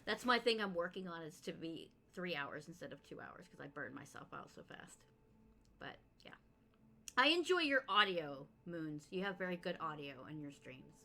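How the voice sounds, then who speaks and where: A woman hosting a podcast, chatting casually in an energetic, booming way and laughing easily. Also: moderate pace, gravelly. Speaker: podcast host. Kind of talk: casual interview chat.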